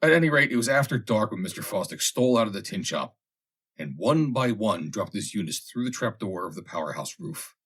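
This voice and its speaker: Husky low-voiced man reading a story expressively. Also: very animated, brisk, fairly bright tone. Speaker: audiobook reader. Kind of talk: scripted narration of a vintage humorous story.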